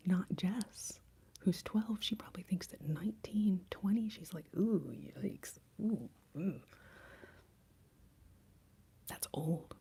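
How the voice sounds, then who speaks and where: An American woman in her thirties speaks in a very breathy, soft whisper. Her tone is dark and mellow, and she rambles casually in a playful, self-deprecating way, as if chatting to viewers on an ASMR stream. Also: mid-range voice.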